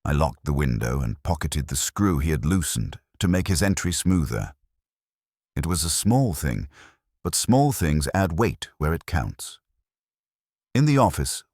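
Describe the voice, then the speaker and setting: A man reading a first-person detective story as narrator, in a dry, measured noir manner. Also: extremely low pitch, dry recording.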